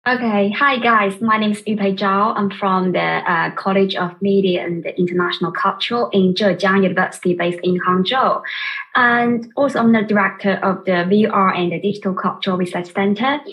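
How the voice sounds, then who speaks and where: A woman's voice: medium pitch, fairly even intonation, moderate pace, lo-fi sound. A woman professor and research director introducing herself casually on an online panel. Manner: casual and light, laughs.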